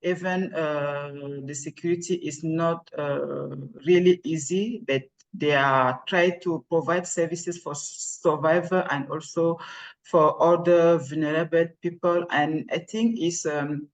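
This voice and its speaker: A woman's voice: sits low, fairly even intonation, a little slow, rough recording. A woman presenter answering on a video call, hesitant with many fillers and non-native phrasing.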